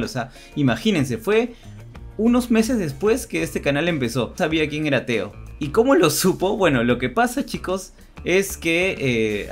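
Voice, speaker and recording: A young man co-hosting a channel, telling a story in a casual chat. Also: mid-range voice. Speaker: co-host of a channel.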